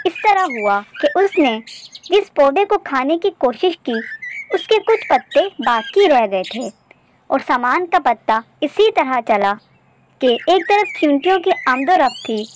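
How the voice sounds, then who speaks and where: A woman voicing a wise, observant male beggar from a children's story, explaining calmly, politely and patiently. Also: high-pitched, slight background noise.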